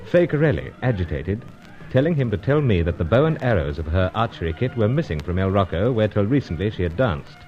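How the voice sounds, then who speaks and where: A brisk British secret agent hero of an old radio serial. His voice is low and swings widely, with clipped, gentlemanly phrasing. The sound is dull and vintage, with music behind. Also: sing-song. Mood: narrating, suspenseful. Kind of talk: old-time radio serial acting and first-person narration.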